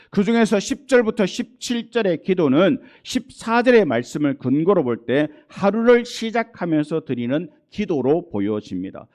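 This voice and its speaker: A middle-aged man preaching a Sunday sermon as pastor in a church service. He tells stories in a folksy way and often starts his phrases with a drawn-out "ah". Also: on the high side, a little mellow. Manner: folksy storytelling with frequent "ah" fillers. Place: church worship hall.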